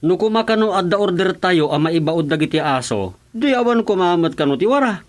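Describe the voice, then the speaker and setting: Radio comedy role: deferential Ilocano village official, fairly high male register, explanatory delivery. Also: expressive, fairly quick. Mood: reporting. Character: village official dutifully reporting to his chairman. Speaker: actor in a radio comedy serial. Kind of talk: Filipino radio comedy drama acting.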